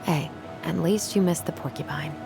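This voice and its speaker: A woman's voice: low voice, moderate pace, creaky, low-quality recording. A woman playing a caring, wry young sister in naturalistic, conversational video game dialogue. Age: young adult. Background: game music.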